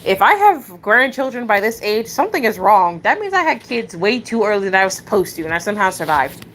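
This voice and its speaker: A woman's voice: mid-range voice, very animated, fairly quick, fairly bright tone, slight background noise, poor audio. A nineteen-year-old woman streaming live, rambling casually, chatting with viewers and often giggling and restarting her sentences.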